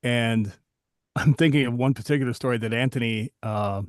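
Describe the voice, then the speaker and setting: A man's voice: medium pitch, normal speed, clean tone, dry recording, studio quality. A man co-hosting a podcast as a voiceover coach, chatting casually and joking with candid, occasional swearing.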